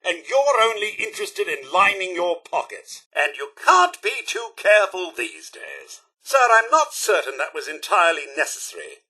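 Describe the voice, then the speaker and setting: A man's voice: sits high, sing-song, moderate pace, few pauses, bright, forceful tone, slightly rough, full character voice. A man voicing a prim, fussy, loyal robot butler in a video game, with a British accent and a formal, theatrical, moralizing manner. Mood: insistent, disapproving. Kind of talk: scripted game dialogue.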